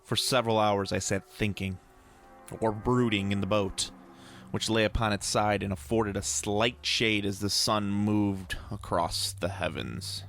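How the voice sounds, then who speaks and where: A man reading a horror tale aloud as a dramatic audiobook narrator, in a deliberate, theatrical storytelling manner. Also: lowish voice, steady tone, gravelly, a bit bright, faint music behind. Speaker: podcast host reading a story. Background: ambient soundscape.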